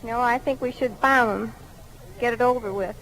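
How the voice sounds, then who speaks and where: A woman's voice: fairly high pitch, normal speed, a bit bright, rough recording. A young woman from North Carolina giving brief, plain-spoken answers in a 1940s radio street interview. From North Carolina, United States. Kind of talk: brief vox-pop answers. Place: outdoors on a downtown street.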